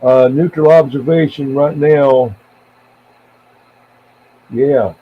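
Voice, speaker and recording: An American man in his seventies chatting casually on CB radio in a halting, rambling, reminiscing way. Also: medium pitch, husky. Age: 70s. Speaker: CB radio operator and live streamer. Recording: tinny band-limited radio audio with faint noise.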